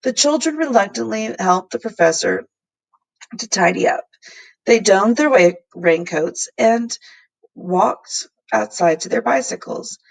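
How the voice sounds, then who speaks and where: A woman with a husky, creaky voice reading a story aloud as a teacher would to a class. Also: mid-range voice, lively intonation, measured pace, low-quality recording. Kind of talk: read-aloud storybook narration.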